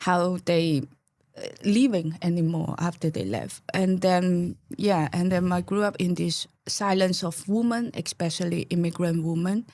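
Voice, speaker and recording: Female podcast guest, Chinese accent, lowish pitch, dry recording, hesitant and reflective as she feels for words. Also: a little slow, a little mellow, dry recording. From rural Taishan, southern China. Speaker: podcast guest, artistic researcher. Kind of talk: reflective conversational interview.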